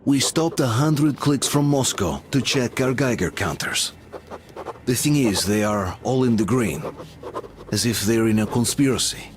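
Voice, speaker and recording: An actor playing a weary, doubtful soldier, giving measured first-person narration in a video game. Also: male voice, mid-range voice, animated, a little hiss or hum, natural voice. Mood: pensive, wry. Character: weary reflective soldier narrating his journey, quietly doubtful.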